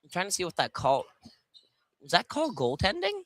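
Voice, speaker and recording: A man giving casual, analytical color commentary on a live basketball game, often pausing mid-thought. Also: high-pitched, huge pitch swings, a bit bright, no room sound. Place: courtside at a college basketball game.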